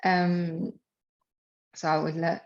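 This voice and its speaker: A woman with an Italian accent, a native Italian speaker, hosting an online webinar in halting speech with many fillers and light jokes. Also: on the low side, little pitch movement. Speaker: webinar host and program manager. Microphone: video call. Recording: lo-fi video call audio.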